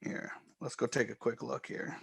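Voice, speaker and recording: A man calmly walking viewers step by step through charts and trading software on a live stream. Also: medium pitch, normal speed, vocal fry, breathy edge, dry recording. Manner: calm, explanatory, step-by-step. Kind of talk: live screen-share trading walkthrough.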